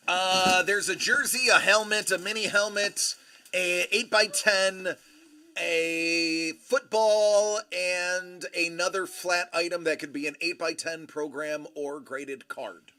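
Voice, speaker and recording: A man's voice: high voice, fairly even intonation, takes it slowly, pushed and bright. A man hosting a live card break stream, chatting casually and telling rambling, joking stories. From Buffalo area, USA.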